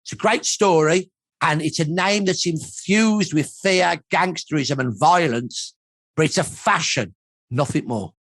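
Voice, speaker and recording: A man's voice: fairly high pitch, unhurried, fairly bright tone, no room sound. An older man with a Birmingham accent, explaining history emphatically as an expert in a documentary interview. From Birmingham, England. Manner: emphatic, storytelling, repeats points for weight. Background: background music.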